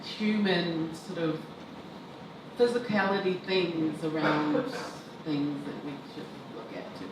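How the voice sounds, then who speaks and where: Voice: medium pitch, fairly even intonation, slow. A filmmaker of Tongan heritage speaking reflectively and loosely on a panel. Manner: reflective, loose, laughs while talking. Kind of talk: panel discussion answer. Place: event hall. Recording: echoey hall, distant mic, noise.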